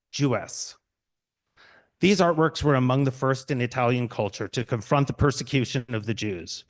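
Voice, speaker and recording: A man hosting an event, reading a measured, formal introduction of the speakers. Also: medium pitch. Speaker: event host. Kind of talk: formal introduction read aloud.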